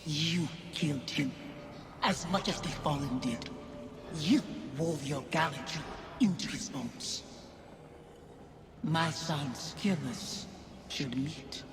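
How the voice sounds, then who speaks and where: A woman playing the wounded, accusing ghost of a dead wife in a video game, measured and cutting. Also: low-pitched, music in the background. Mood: cold, vengeful. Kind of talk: dramatic video game acting.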